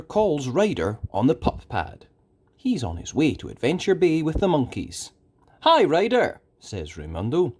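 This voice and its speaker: A storyteller reading a children's book aloud in an expressive, dramatic way with deliberate emphasis. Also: mid-range voice, slightly rough. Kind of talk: animated scripted children's story narration.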